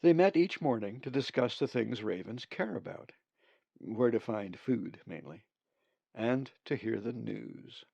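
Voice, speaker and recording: A male author narrates his storybook in a slow, measured way. His voice has a noticeable creak, and the sound is dry and a little muffled. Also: medium pitch, expressive, dark tone, no room sound. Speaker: author reading own book. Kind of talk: scripted audiobook narration.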